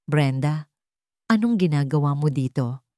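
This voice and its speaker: A woman's voice: low-pitched, animated, measured pace, a little mellow, no room sound, clean studio sound. A woman voicing a wealthy man who holds back anger, firm and controlled but trembling, in an audio story. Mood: tense warning.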